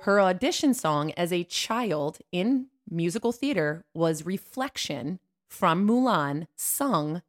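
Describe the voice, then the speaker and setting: A woman's voice: fairly low pitch, lively intonation, slow, hardly any pauses. A woman co-hosting a casual chat with a friend, telling teasing anecdotes and acting out the quotes. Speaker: podcast co-host.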